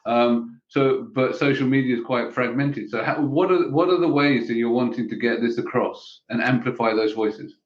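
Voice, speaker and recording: A man's voice: medium pitch, moderate pace, few pauses, echoey room, highs cut off. A man co-hosting a discussion show, thoughtful and asking probing questions. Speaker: podcast co-host. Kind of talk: casual discussion.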